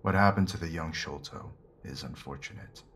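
A deep-voiced, weathered convict played by a man speaks in a period audio drama. He is rueful, earnest and deferential, with rough plain phrasing. Clear ambient noise sits behind his voice. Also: normal speed, a bit bright. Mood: frank, subdued. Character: weathered middle-aged male convict, rueful and plain-spoken. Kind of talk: audio drama acting of Victorian fiction. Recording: noisy ambient background.

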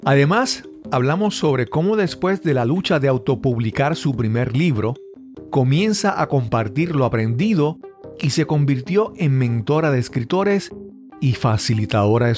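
A man's voice: fairly high pitch, darkish tone. Man, podcast host, conversational, faint music behind. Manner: conversational, frequent fillers and restarts. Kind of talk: podcast interview.

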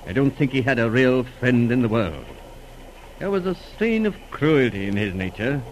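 An actor playing an earnest, courteous doctor in a 1940s radio drama, speaking in a measured, slightly hesitant way. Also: male voice, mid-range voice, lively intonation, dull audio, natural voice. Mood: grave, confiding. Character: earnest, courteous male physician confiding a mystery. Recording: noisy old radio recording.

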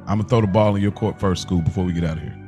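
A man's voice: low-pitched, fairly even intonation, racing, dark tone, soft background music. A man hosting a podcast and moderating the discussion in a casual way.

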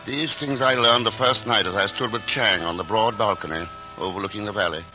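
An actor playing a composed male traveler who narrates his journey in a 1940s radio drama, measured and reflective. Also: lowish voice, close to an everyday voice, poor audio. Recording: old radio broadcast with music.

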